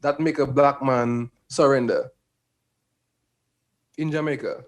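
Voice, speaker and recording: A man with a Caribbean accent chatting casually as a co-host, halting and repeating himself as he frames questions. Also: medium pitch, steady tone. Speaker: podcast co-host. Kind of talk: casual chat.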